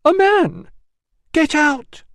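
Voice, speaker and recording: A woman's voice: high voice, sing-song, extremely slow, no room sound, clean studio sound, voice pushed up, heavily acted. A man reading an audiobook, voicing outraged women who shriek at an intruder. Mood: furious.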